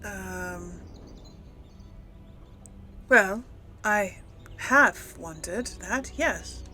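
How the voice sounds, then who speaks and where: A woman's voice: mid-range voice, animated, very slow, sharp tone. A woman giving casual, musing commentary as she role-plays through a video game. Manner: musing, thinking aloud. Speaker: gaming video host.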